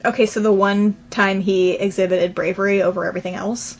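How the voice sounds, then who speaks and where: A woman's voice: medium pitch, level tone, brisk. A woman co-hosting a podcast in casual chat, teasing and stubbornly arguing her side. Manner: teasing, stubborn, laughs often. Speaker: podcast co-host. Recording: dull lo-fi audio with faint background noise.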